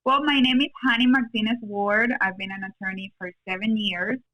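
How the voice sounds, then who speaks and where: A woman raised in Cuba and Venezuela, with Spanish as her first language, speaks in a conversational interview. She is an attorney who explains things plainly in short, simple phrases, at a middle pitch. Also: fairly even intonation, a little fast, highs cut off. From born in Cuba, raised in Venezuela, in the US since her teens. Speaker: podcast guest, attorney.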